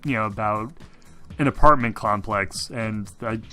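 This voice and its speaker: A man improvising narration as game master of a tabletop game, in a halting, searching way. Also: on the low side, bright, forceful tone, faint music behind.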